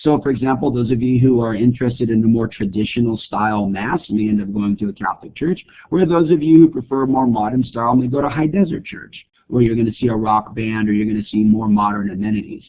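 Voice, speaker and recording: A college instructor from Greece giving a recorded politics lecture, explaining and asking rhetorical questions. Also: male voice, mid-range voice, expressive, quick pace, creaky, few pauses. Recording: muffled phone-like lecture recording.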